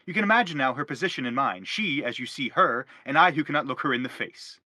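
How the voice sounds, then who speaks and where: Actor as an anguished, bright-voiced philosophizing father. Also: medium pitch, lively intonation, quick pace, no echo. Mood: self-justifying. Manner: declamatory, formal, rhetorical. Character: self-justifying, anguished middle-aged father, given to philosophizing. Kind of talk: theatrical stage play acting.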